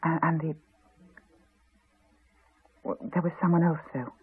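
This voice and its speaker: A woman's voice: low voice, measured pace, breathy edge, heavily acted. An actress playing a guarded, troubled mother in a 1980s radio drama, terse and hesitant. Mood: shaken, hesitant. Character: guarded, troubled mother haunted by childhood guilt. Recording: muffled, narrow-band 1980s radio broadcast.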